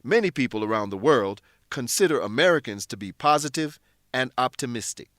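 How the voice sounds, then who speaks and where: A man narrating a scripted English lesson in a deliberate, instructional manner. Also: medium pitch, lively intonation, fairly bright tone, no echo, clean studio sound.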